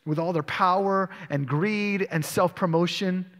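A man's voice: sits high, measured pace, a bit bright. Man preaching in a reverberant church. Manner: expository preaching, asks the congregation questions. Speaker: pastor. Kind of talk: sermon. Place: church sanctuary. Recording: long church hall reverb.

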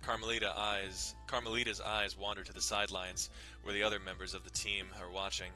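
A man's voice: deep voice, little pitch movement, fairly quick, bright, forceful tone, faint music behind. A man giving a dramatic scripted narration of a visual novel. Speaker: narrator doing dramatic readings.

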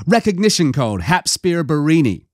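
A man's voice: on the high side, sing-song, moderate pace, no room sound, clean studio sound, voice pushed up. A man voicing a curt young female agent. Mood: curt commanding. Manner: curt, imperious. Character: sharp young female Imperial agent, commanding.

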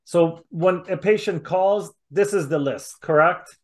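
A man with a slightly high voice asks questions as an interviewer. His delivery is casual and conversational, heard over a video call. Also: a little slow.

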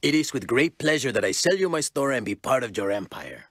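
A man's voice: medium pitch, sing-song, brisk, fairly bright tone, no echo, studio quality. An actor playing a polite, formal male cigar shop owner.

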